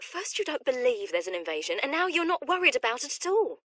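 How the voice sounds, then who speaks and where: A woman's voice: high-pitched, very animated, fast talker, fairly bright tone, no room sound, studio quality, full character voice. A woman playing a worried, easily exasperated factory worker in a sci-fi game.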